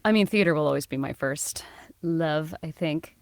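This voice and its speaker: A woman voice actor as an interview guest, answering in a lively way and laughing often. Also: low voice, normal speed, no room sound. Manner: bright, laughing, animated answers. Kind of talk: casual interview chat.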